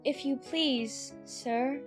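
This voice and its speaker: A woman's voice: high voice, extremely slow, faint music behind. A woman playing a curious, chattering young girl who muses aloud to herself in a dramatized audiobook. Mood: timid. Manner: talking to herself, musing aloud. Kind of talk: dramatized audiobook character acting.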